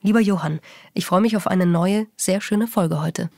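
A woman presenting a podcast in polished, measured scripted narration. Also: fairly low pitch, gravelly, mellow, no echo, studio quality. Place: podcast studio, indoors.

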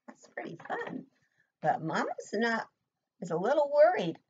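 A woman's voice: on the high side, wildly swooping pitch, unhurried, breathy edge, dull audio. An older woman, a preschool teacher, reading a picture book expressively to young children and asking simple questions, recorded indoors at home. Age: older, 60s or above.

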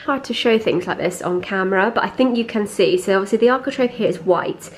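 A woman's voice: medium pitch, fairly quick. A young British woman with a stuffy head cold, chatting to camera in a casual home vlog, rambling with lots of fillers, filmed at arm's length in her kitchen. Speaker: lifestyle vlogger. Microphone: handheld camera at arm's length.

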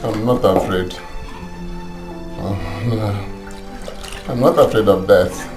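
A man's voice: on the low side, slow. An actor playing a dying older father in a family drama, speaking in a weak, strained, groaning voice. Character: dying old father, frail and resigned. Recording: roomy echo, music underneath.

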